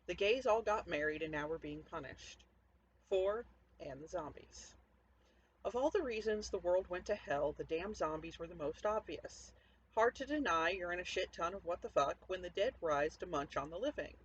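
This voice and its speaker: A woman author speaking informally as she presents her own fiction from home. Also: fairly low pitch. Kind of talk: casual introduction to a home story reading. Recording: dull lo-fi audio, noticeable background noise.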